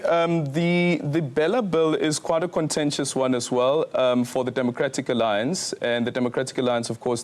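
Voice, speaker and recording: A man's voice: medium pitch, a little mellow. Hesitant male interviewer. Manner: hesitant, many ums and restarts. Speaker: radio interviewer. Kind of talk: radio interview questions.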